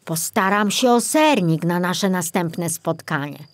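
A woman voicing a mild, calm male forest ranger in a children's story, speaking in a measured, composed way. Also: on the low side. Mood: rueful, tired.

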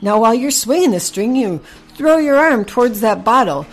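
A woman's voice: fairly high pitch, sing-song, normal speed, a little mellow, pitched above the performer's own voice, poor audio. A woman voicing a patient male horse in a children's story, coaching in a folksy, encouraging way. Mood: instructing.

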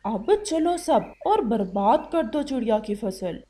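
A shady male owl gang leader from a children's cartoon, acted by a woman. He is fairly high-voiced and takes his words slowly. He comes across as obliging, deliberate and cautious. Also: lively intonation, warm tone. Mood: commanding. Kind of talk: children's cartoon story acting.

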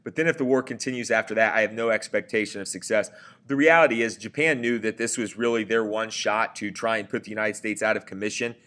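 A man's voice: lowish voice, fairly even intonation, fast talker, a bit bright. A man giving a recorded history lecture over slides, talking conversationally with frequent fillers and self-corrections. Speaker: teacher.

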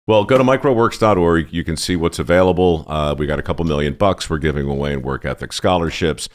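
A male podcast host in his sixties chatting in a small studio, relaxed and joking, into a desk microphone. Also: rumbling low, quick pace. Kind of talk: casual studio chat. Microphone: desk mic on boom arm.

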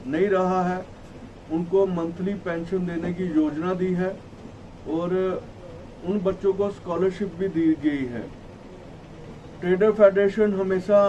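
A middle-aged man delivers a formal statement in a high voice, slightly muffled by a face mask. He speaks haltingly as a spokesman at a press conference. The microphone sits at a distance in a room with faint background noise. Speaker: traders' federation spokesman. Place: small indoor office at a press conference. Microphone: cluster of table microphones, camera at some distance. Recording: distant mic, noisy room ambience.

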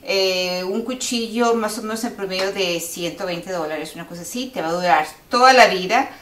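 A woman's voice: mid-range voice, moderate pace. Chatty woman in an echoey home kitchen. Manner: chatty, lively, lots of hand demonstrations. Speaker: cooking teacher giving tips. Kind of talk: casual how-to video. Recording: roomy, a bit far from the mic, faint hiss.

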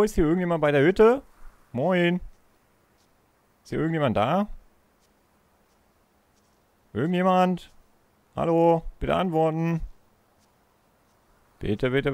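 A man's voice: a little high, a little mellow, dry recording. A man giving casual let's play commentary, musing aloud in a playful way. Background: game music.

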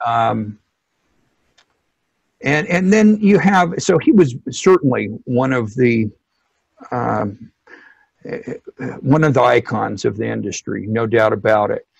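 A middle-aged man explaining things informally as an interview guest, in a halting, hesitant way with many pauses. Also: mid-range voice, gravelly, breathy edge, no echo, highs cut off. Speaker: loudspeaker company technical director, interview guest.

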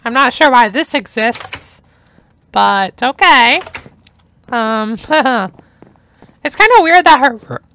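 A young woman chatting casually through a game playthrough, hoarse from talking, rambling and enthusiastic. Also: fairly high pitch, animated, very slow, long pauses, a bit bright. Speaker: gameplay narrator. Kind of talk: casual let's-play commentary.

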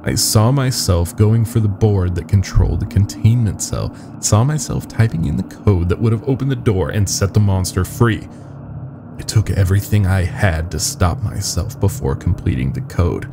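A man's voice: deep voice, animated, normal speed, over music. A man voicing a nervous, foul-mouthed young lab worker confessing a horror with urgency. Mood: shaken, urgent.

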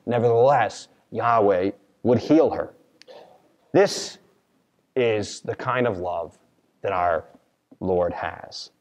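Male American pastor, earnest sermon delivery, slow and measured, ringing church-hall reverb. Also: fairly low pitch, a little mellow. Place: large church sanctuary, at a pulpit.

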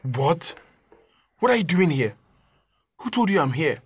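A man's voice: on the high side, very animated, unhurried, breathy edge, heavily acted. A man playing a bitter, suspicious young hospital patient in an audio drama, with a Nigerian accent and scornful questioning. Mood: suspicious, sarcastic.

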